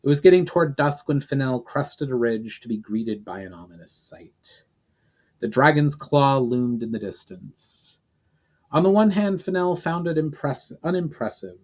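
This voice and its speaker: A man reading a fantasy story aloud as dramatic narration, deliberate and occasionally stumbling over words. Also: mid-range voice, lively intonation, a little mellow. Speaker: writer reading own novel aloud.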